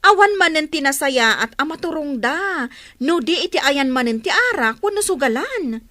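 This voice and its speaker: A woman's voice: high voice, big pitch swings, moderate pace, bright, forceful tone, exaggerated voice. A woman playing a nagging, exasperated wife and mother who scolds and complains in an Ilocano comedy radio drama.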